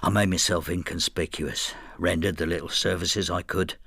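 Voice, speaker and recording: A man's voice: low voice, fairly even intonation, moderate pace, gravelly, pushed and bright, breathy edge, no echo, full character voice. An actor playing a brooding, resentful older man in a radio drama, confiding in an intimate, reflective way. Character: brooding older Frenchman, resentful, inward, estranged husband. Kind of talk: radio drama, intimate first-person narration and dialogue.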